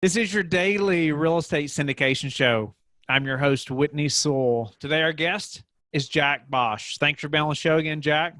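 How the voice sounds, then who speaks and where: A man's voice: a little high, moderate pace, pushed and bright, dry recording, soft background music. A man hosting a podcast, asking rambling questions with many fillers and restarts. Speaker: podcast host. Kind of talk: casual podcast interview.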